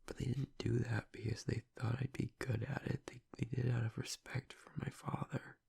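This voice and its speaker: Man acting as a tearful, raspy, whispering werewolf boyfriend. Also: flat delivery, a little mellow, heavily acted. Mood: anguished, self-doubting. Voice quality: breathy, gravelly rasp. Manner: halting, low-voiced, choked with emotion. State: tearful, on the edge of crying. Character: gruff young male werewolf pack alpha, grieving, self-doubting boyfriend. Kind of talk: intimate audio roleplay acting.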